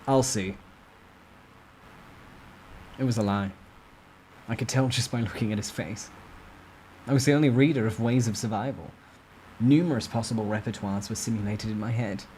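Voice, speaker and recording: A man narrating a first-person novel in a dramatic, expressive storytime ASMR style. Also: lowish voice, brisk, pauses often, slight background noise, low-quality recording.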